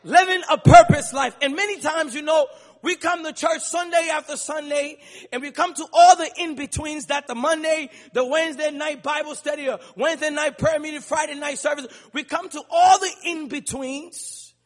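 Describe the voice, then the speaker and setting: A man from Liberia preaching a sermon in a large church, calling to the congregation and rising into shouts. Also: very high-pitched, normal speed. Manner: rhythmic call-and-response preaching, building to shouts. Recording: long church reverb.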